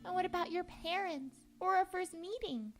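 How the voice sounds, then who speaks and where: A very high, childlike little book spirit for a cartoon dub, chatty and affectionate with a wistful streak. Music plays clearly under the tender, rambling voice. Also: female voice, normal speed. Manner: cutesy, rambling, tender, playful slang. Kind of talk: comic dub voice acting.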